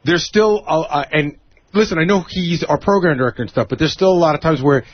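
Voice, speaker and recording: A man on a radio show's staff with a somewhat high voice, talking rapidly and casually. He edges into his points with hedges, on dull broadcast sound. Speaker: radio show staff member on air. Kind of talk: casual talk radio chat.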